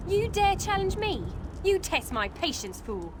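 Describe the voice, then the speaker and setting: A woman's voice: very high voice, sing-song, unhurried, background noise, exaggerated voice. A woman voicing a haughty, threatening wood elf in a video game, with imperious delivery. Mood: angry threat.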